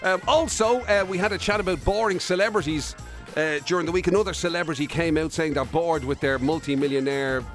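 A man's voice: on the high side, fast, soft background music. An Irish man co-hosting a radio show, chatting casually with wry banter into a studio mic.

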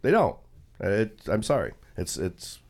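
A man's voice: lowish voice, big pitch swings, darkish tone. Rambling male radio host. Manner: rambling, halting restarts. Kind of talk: casual radio chat. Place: radio studio.

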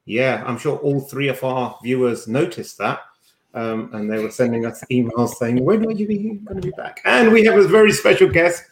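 A man's voice: medium pitch, moderate pace. Male podcast host in an echoey room. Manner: teasing, dryly joking. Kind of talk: casual banter on a news discussion show. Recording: roomy, echoey sound.

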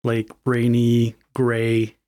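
A man, a podcast guest, speaking in a reflective, halting way as he searches for his words. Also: medium pitch, completely flat delivery, warm tone. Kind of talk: casual group podcast chat.

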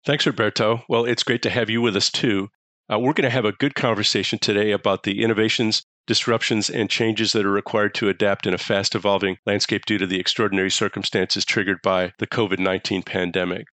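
Low-voiced man hosting, dry sound. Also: fairly even intonation, fairly quick, fairly bright tone, dry recording. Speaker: podcast host. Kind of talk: hosting an interview podcast.

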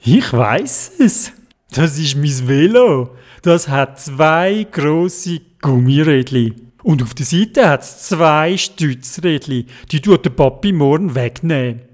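A man's voice: on the high side, expressive, slow pace. A man with a Swiss German accent playing a kind, sensible little boy who speaks encouragingly in a children's story. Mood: sure, proud.

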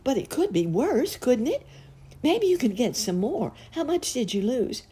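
Woman doing an eager, husky little circus clown. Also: a little high, big pitch swings, measured pace, dark tone, pitched above the performer's own voice, heavily acted. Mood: sympathetic.

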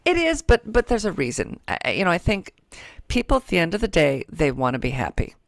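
A woman's voice: lowish voice, very animated, brisk, creaky, no echo, clean studio sound. A middle-aged woman hosting in casual chat, animatedly acting out the quotes she retells. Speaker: book show host.

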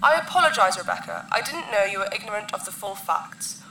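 A woman playing a commanding, solemn insectoid alien queen in a sci-fi audio drama, with formal, imperious and measured phrasing. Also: mid-range voice, lively intonation, pushed and bright, echoey room, far from the mic, a little hiss or hum, low-quality recording. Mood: composed, formal.

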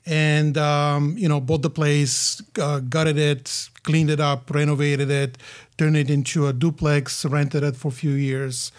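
A man in his forties from Bulgaria with a Bulgarian accent, a podcast guest telling his story in a reflective, halting way with many fillers. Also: a little high, little pitch movement, clean tone. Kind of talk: casual podcast interview.

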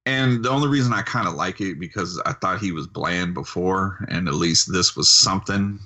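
Deep voiced guy w a bright forceful edge, wry, just chatting as podcast cohost. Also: moderate pace, dull audio. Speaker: podcast co-host. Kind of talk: casual chat reviewing wrestling.

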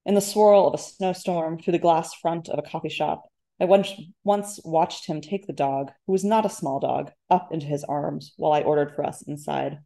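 Low, mellow-voiced woman reading fiction. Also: normal speed, vocal fry. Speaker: debut author, guest. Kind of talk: literary reading of first-person fiction.

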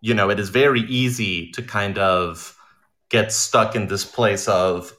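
A man with a fairly low voice speaks informally as an interview guest. He is an animated storyteller who explains his creative work conversationally and likes to act out imagined remarks. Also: measured pace. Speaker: audio drama writer and director being interviewed.

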